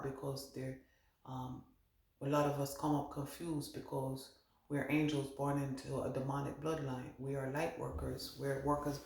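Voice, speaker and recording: Gravelly man giving a roomy spirit reading. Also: medium pitch. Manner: meandering, thinks aloud, relays spirit messages. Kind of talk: channeled spiritual card reading. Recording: roomy echo.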